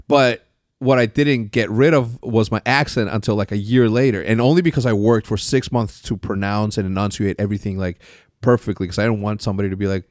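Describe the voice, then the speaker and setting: A man's voice: lowish voice, fairly even intonation, brisk, no echo, studio quality. A man in his forties hosting a casual podcast chat, rambling and swearing freely as he tells stories. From moved to the United States at thirteen. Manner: loose, profane, rambling storyteller. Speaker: podcast host.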